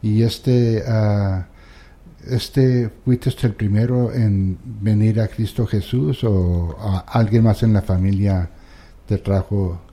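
A man's voice: lowish voice, fairly even intonation, slow, warm tone. A man hosting an interview in a casual, hesitant way with many fillers and an occasional English word. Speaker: interview host.

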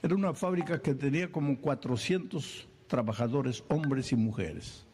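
Animated preacher man, mellow voice. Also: medium pitch, moderate pace, a little hiss or hum. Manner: animated, acts out little scenes and questions. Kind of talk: sermon.

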